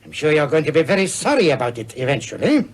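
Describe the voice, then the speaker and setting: An actor playing a cold, commanding criminal gang leader in a radio thriller, clipped, authoritative and coolly threatening. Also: male voice, on the high side, sing-song, quick pace, slight background noise. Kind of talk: 1970s radio thriller acting.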